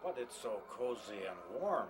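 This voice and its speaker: A man's voice: fairly low pitch, sing-song, a little slow. A man playing a jealous, menacing betrayed husband in an audio drama, sarcastic and needling. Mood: bitterly sarcastic. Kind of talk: radio-play style dramatic acting. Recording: faint background noise.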